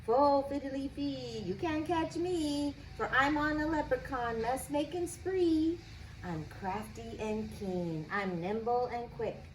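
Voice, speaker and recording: A woman's voice: high voice, takes it slowly. A woman voicing a crafty, mischievous little male leprechaun from a storybook, with sing-song, giggly taunting. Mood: gleeful, taunting. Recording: echoey room with background noise.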